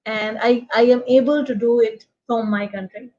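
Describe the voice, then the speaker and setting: Pakistani woman host, highish voice, repeats herself and gushes, muffled lofi call sound. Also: steady tone, dark tone. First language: not English. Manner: halting, repetitive, gushing. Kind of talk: online talk show, effusive informal hosting. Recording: dull, lo-fi online call audio.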